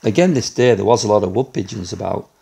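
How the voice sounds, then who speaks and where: Gravelly man, low-key. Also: animated, fast talker, mellow. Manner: low-key, chatty, fillers like "you know". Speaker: airgun pest controller. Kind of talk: casual talk to camera. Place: farm building loft, indoors. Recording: noisy outdoor-farm ambience.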